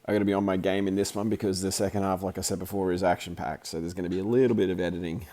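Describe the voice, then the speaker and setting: A man just over the flu, his voice croaky, giving chatty, rambling commentary over footage of a hockey game he filmed, with plenty of fillers and restarts. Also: deep voice, fairly even intonation, rapid-fire, dark tone. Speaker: amateur hockey commentator and video maker.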